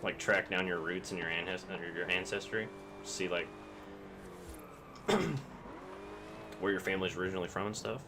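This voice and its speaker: A man's voice: low voice, little pitch movement, moderate pace, pauses often, noisy background. A young man from Kentucky, chatting casually and rambling with plenty of swearing while streaming a game. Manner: rambling, profane, laid-back.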